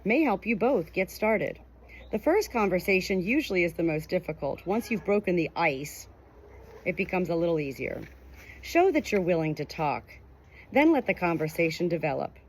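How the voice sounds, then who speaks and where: A woman's voice: lowish voice, animated, normal speed, slight background noise. A woman reading a nonfiction book aloud as scripted audiobook narration. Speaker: audiobook reader.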